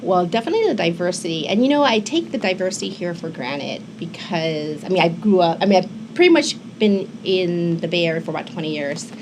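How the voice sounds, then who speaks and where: A woman's voice: on the low side, lively intonation, a little fast, hardly any pauses. A woman in her fifties, born in Hong Kong, chatting as an interview guest with many fillers and restarts. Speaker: immigration attorney, guest. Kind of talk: casual interview talk. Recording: local TV studio with noisy background.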